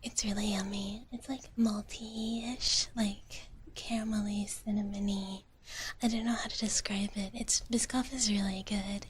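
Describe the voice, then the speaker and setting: A woman livestreaming ASMR, chatting casually in a gentle, slow whisper and often repeating short phrases, close to the mic. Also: medium pitch, steady tone, a little hiss or hum.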